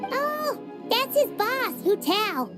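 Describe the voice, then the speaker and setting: A woman's voice: big pitch swings, slow, over music, heavily acted. A woman voicing a tiny, chirpy female fairy companion who is blunt and teasing in a game cutscene. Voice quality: squeaky, childlike.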